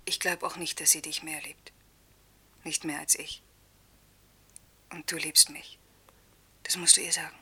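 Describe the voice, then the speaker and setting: Breathy, whispering lovestruck woman teacher. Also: sits low, flat delivery, unhurried, pauses often, sharp tone, heavily acted. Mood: tender, insecure. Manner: breathy, needy, pleading, often whispering. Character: anxious lovestruck female teacher, secretly phoning her lover. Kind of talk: German radio play acting.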